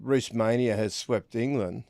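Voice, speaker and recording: A man's voice: mid-range voice, unhurried, creaky, a little mellow, no room sound. A man with an Australian accent giving blunt, colloquial opinions in a casual sports discussion. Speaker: rugby league podcast panelist.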